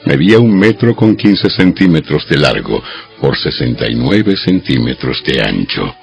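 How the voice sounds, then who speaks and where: A man reading scripture as a dramatized audio Bible narrator, with measured, deliberate phrasing. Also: rumbling low, big pitch swings, vocal fry. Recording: dull lo-fi audio over music. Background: background music.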